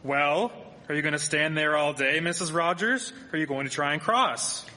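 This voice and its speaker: A skeptical, blunt townsman of the 1870s, acted by a man in a live radio play. His voice is forceful and fairly high, ringing in an echoey hall. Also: moderate pace. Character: grumbling, skeptical townsman in 1873, fond of parties. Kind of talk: live radio drama acting.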